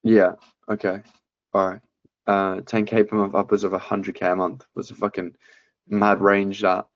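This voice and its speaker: A man breaks down a sales call with blunt, profane coaching, speaking in a flat, low voice. The sound is dry and muffled, like a lo-fi video call. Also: normal speed, no echo. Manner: blunt, swears often. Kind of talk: casual coaching chat.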